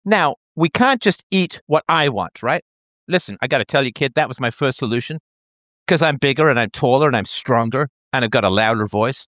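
A man's voice: mid-range voice, a little fast, dry recording, clean studio sound. A man in his thirties in a casual chat, explaining an idea playfully as if talking to a child. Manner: animated, playful, talks as if to a child.

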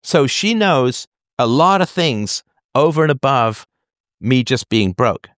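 A man's voice: medium pitch, big pitch swings, slow pace, fairly bright tone, no room sound. Man, advice host, conversational, emphatic explainer, frequent check-ins, very dry close recording. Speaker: call-in show host giving advice.

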